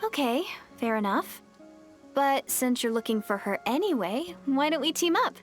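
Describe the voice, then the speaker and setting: A woman's voice: high-pitched, lively intonation, moderate pace, faint music behind. A woman voicing a shy, easily flustered young village woman in a game, who talks hesitantly and stammers. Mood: shy earnest. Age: young adult. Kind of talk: game dialogue.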